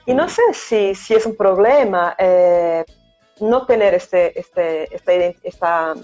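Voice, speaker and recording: A woman's voice: fairly low pitch, takes it slowly, a little mellow. Brazilian lady teacher chatting on a livestream, keeps saying "no?", lo-fi audio with faint music. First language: Portuguese. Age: middle-aged. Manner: thoughtful, many hesitations and tag questions. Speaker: guest Spanish teacher. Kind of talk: casual chat on a live stream.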